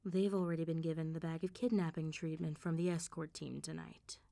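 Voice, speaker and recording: A woman voicing a calm, flirtatious, quietly threatening female vampire mob boss in a soft ASMR roleplay. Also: sits low, fairly quick, background noise. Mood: commanding. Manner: controlled, smooth-talking, teasing, quietly threatening.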